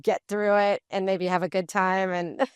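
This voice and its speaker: Chatty young woman, smooth voice. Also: medium pitch, little pitch movement, moderate pace, no room sound. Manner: rambling, many fillers, acts out voices in anecdotes. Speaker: podcast guest. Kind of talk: casual conversational interview.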